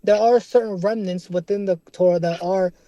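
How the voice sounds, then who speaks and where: Young man, high-pitched voice, dry close sound, earnest, repeats himself, slangy debater. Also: fairly even intonation, normal speed, dark tone, no echo. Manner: earnest, repeats and restarts, keeps asking "right?"; casual slang, calls listener "bro". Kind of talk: casual street debate.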